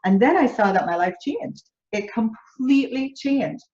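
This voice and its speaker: A woman's voice: a little high, lively intonation, moderate pace, darkish tone. Jamaican woman in a roomy, dull recording. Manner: earnest, builds through repeated phrases. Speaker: business coach, interview guest. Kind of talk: conversational interview answers.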